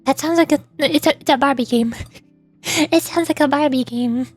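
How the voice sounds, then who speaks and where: A woman's voice: high voice, moderate pace, faint music behind. A woman streamer chatting casually and ramblingly about games, often repeating words as she goes. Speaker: streamer and VTuber.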